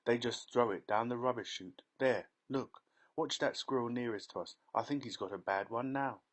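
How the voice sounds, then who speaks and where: Man doing an excitable eccentric chocolatier. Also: mid-range voice, normal speed, lightly acted. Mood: brisk excited. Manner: loud, bright, triumphant exclamations. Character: excitable eccentric male chocolatier, brisk and showy.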